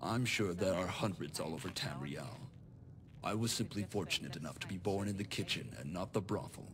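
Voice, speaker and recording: A man playing a calculating, self-made nobleman in a video game, speaking in a formal, measured, composed way. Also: low-pitched, lightly acted. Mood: cool, resolute. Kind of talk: scripted video game dialogue.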